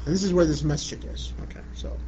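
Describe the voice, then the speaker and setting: Middle-aged guy from iraq giving a chatty religious talk, bit high voice, muffled lo-fi recording with faint hiss. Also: fairly even intonation, fast, mellow. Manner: conversational, repeats "you know what I'm saying". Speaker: Islamic lecturer. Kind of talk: religious lecture answering a question. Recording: muffled lo-fi recording with light background noise.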